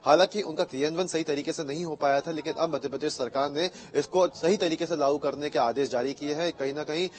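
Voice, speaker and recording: A man with an Indian accent delivering an unscripted live news report, halting often with filled pauses. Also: on the high side.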